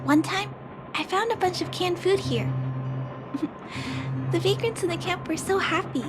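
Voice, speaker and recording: A woman voicing a kind, polite young girl who gently thinks aloud with questions, in a video game cutscene dub. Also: very high-pitched, expressive, measured pace. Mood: curious. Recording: game music under the voice.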